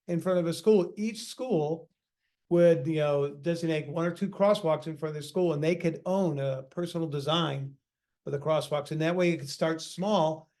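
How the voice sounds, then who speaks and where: A man at a community meeting pitching an idea in a rambling way, with fillers. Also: moderate pace, darkish tone. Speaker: community member.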